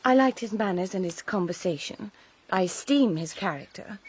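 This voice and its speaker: An actress playing a frank, dignified young gentlewoman, speaking formally and with composure in a period radio drama. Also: mid-range voice, lively intonation, normal speed, a little hiss or hum, natural voice.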